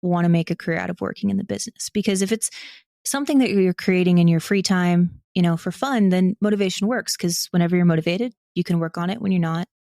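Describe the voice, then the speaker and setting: A woman's voice: fairly low pitch, quick pace, creaky, dark tone, no echo, studio quality. A woman talking casually and reflectively as an interview guest, candid and self-deprecating as she thinks aloud. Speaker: podcast guest, audio drama creator and actor.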